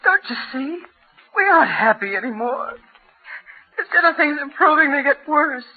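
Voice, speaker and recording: A woman's voice: high voice, sing-song, moderate pace, soft background music. A woman playing an anxious, wounded wife in an old-time radio drama, with a plaintive melodramatic manner. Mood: distraught, plaintive. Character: fretful, wounded wife and stepmother, anxious and high-strung. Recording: muffled, narrow-band old radio recording.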